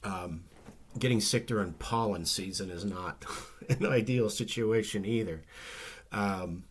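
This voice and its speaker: Low-voiced man with a slightly nasal rasp. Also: unhurried. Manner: relaxed and rambling, many ums and restarts. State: recovering from flu, slightly rough nasal voice. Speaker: comic book vlogger. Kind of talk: casual chat.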